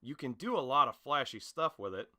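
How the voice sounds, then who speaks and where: Casting: brash, spirited teen superhero student for an anime dub, forceful and bright, punchy delivery, performed by a man. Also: mid-range voice, big pitch swings, a little fast. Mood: upbeat, admiring. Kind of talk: anime dub audition acting.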